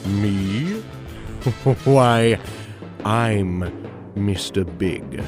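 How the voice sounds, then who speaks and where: A man's voice: fairly low pitch, very slow, big-room sound, over music. A man playing a huge, gloating, theatrical supervillain mastermind in an audio drama, with a grandiose, taunting delivery. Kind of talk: hobby audio drama acting.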